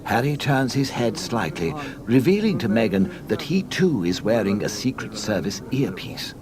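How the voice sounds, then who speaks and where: A man narrating a scripted read-along storybook in a mock-solemn, deadpan comic manner. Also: fairly low pitch, normal speed, crowd noise.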